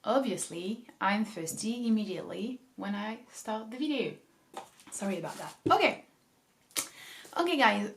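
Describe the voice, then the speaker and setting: A woman's voice: medium pitch, lively intonation, normal speed, long pauses, a little hiss or hum. Young woman, thoughtful and hedging. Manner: reflective, hedges often with "I feel like" and "um". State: coming down with a cold. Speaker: book vlogger. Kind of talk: casual talking-to-camera opinion video.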